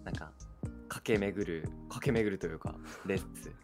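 A man's voice: deep voice, moderate pace. A young adult man co-hosting a casual radio chat in an easygoing, agreeable way. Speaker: radio show co-host. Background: background music.

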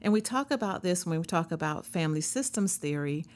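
A woman's voice: on the low side, expressive, brisk. A woman in her fifties, a therapist hosting a show, talking through ideas in a conversational, earnest way with frequent asides. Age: 50s. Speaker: therapist and podcast host. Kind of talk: reflective solo talk.

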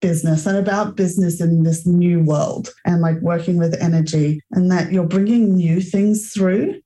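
A young woman with a low, mellow voice talks as a guest in an interview. She is enthusiastic and rambling, and scatters "like" through her sentences. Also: moderate pace. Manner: enthusiastic, spiritual, rambling with many "like"s. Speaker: entrepreneur and guest. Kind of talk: conversational interview.

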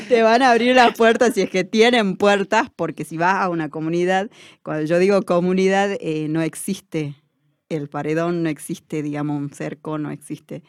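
Slow, hesitant Argentine speaker. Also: medium pitch, no echo. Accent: Argentine Spanish. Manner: slow, hesitant, many fillers and restarts. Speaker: interview guest. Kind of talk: reflective personal interview.

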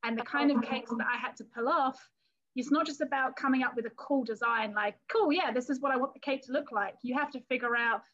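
A woman's voice: a little high, fairly bright tone, muffled recording. A woman in her thirties from the London area of England, with an English accent, chatting as a guest in an interview and telling stories with little quoted scenes and frequent laughs. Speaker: cake artist and business owner, guest. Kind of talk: casual interview talk.

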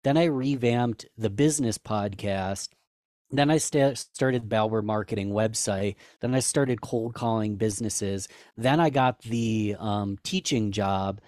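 A man's voice: a little slow, a little mellow, no echo, clean studio sound. A man guest on a podcast, talking candidly and self-deprecatingly in casual chat, rambling with restarts. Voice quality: higher-pitched for a man.

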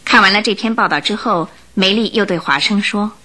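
A woman's voice: medium pitch, brisk, pushed and bright. A woman narrating a radio drama, reading scripted story and news reports.

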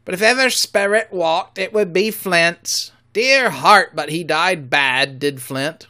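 A man voicing a bandaged pirate sailor, fearful and superstitious, speaking loudly in sailor's slang. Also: high-pitched, takes it slowly, full character voice.

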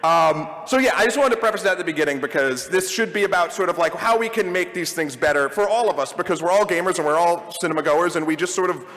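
A man's voice: high-pitched, fast talker, hardly any pauses, fairly bright tone. A man casually hosting a live panel, talking to the room through a stage microphone. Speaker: panel moderator and podcast host. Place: large convention panel room. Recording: live convention hall, long reverb.

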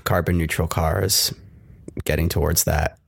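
A man's voice: low voice, little pitch movement, measured pace, vocal fry, husky, no echo, clean studio sound. A man co-hosting a casual tech podcast, rambling and ranty, swearing now and then. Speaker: podcast co-host. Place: New York. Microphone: close mic with pop filter.

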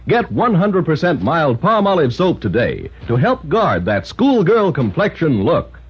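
A man reading a 1950s radio soap commercial in an emphatic, sing-song sales pitch, on a muffled old broadcast recording. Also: wildly swooping pitch, a little slow, breathy edge, soft background music. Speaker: commercial announcer.

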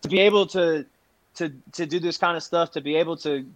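A man's voice: on the high side, steady tone, fairly quick, dry recording, rough recording. Emphatic man talking in bursts. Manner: restless, repeats himself, emphatic. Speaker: fight coach and fighter. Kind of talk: casual podcast chat.

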